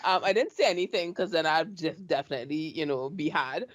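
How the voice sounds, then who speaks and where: A woman from Trinidad with a Trinidadian accent hosting an interview, chatty and animated as she tells her own stories. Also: sits low, a little fast. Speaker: show host. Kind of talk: casual interview chat.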